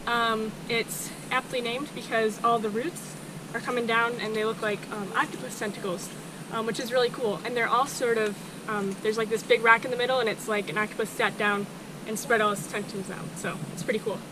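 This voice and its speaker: Teen girl vlogging outdoors, high voice. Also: fairly quick. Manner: relaxed, with frequent ums. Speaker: family vlogger. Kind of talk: casual vlog presenting. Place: outdoors in a forest. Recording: clear outdoor noise.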